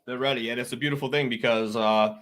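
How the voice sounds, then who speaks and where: A man's voice: medium pitch, fairly even intonation, fast, a bit bright, faint music behind. A man co-hosting a casual podcast chat, opening with hype-man calls and emphatic repeats. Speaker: podcast co-host.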